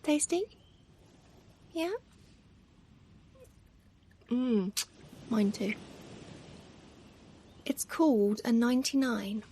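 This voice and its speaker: Woman playing a soft, high-voiced, doting mummy. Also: extremely slow, pauses often. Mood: playful, cosy. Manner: soft, affectionate, gently murmuring, sometimes near whisper. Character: tender, doting, playfully self-deprecating mother comforting her pet. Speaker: ASMR performer. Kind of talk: ASMR roleplay. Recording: steady ambient noise, ocean waves. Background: ocean waves ambience.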